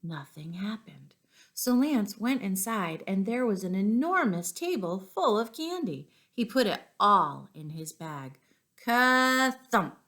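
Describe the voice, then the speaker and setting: A woman teacher reading poems and picture books aloud to young children, as an expressive, deliberate storyteller. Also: medium pitch.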